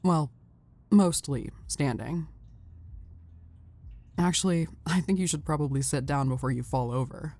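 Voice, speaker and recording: A woman's voice: sits low, unhurried, pauses often, dark tone, slight background noise. A woman voicing a tough, brash young female brawler who talks bluntly and teases. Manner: teasing, blunt, cocky. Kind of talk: fan audio roleplay.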